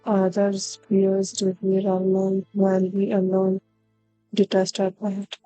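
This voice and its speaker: A woman's voice: mid-range voice, monotone, slow, mellow, dry recording, low-quality recording. A woman streaming a game, thinking aloud in casual, musing reactions. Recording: music under the voice.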